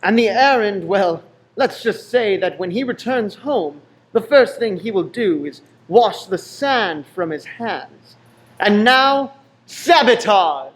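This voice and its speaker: A man's voice: high-pitched, lively intonation, takes it slowly, low-quality recording. A man narrating a radio play in a wry, suspenseful storytelling manner.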